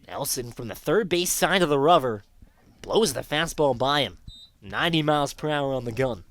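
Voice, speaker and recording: A man's voice: medium pitch, animated, moderate pace, fairly bright tone. A man calling live baseball play-by-play as the announcer of a sports broadcast.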